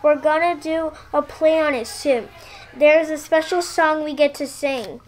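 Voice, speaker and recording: A woman's voice: high voice, fairly even intonation, measured pace, low-quality recording. A female performer playing a friendly, encouraging young schoolgirl in a radio play. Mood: reassuring.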